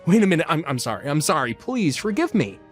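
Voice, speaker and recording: A woman's voice: low voice, sing-song, fast. A woman voicing a selfish, whiny adult son in a melodramatic, pleading manga dub style. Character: selfish whiny adult son begging his mother for money. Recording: music behind the voice.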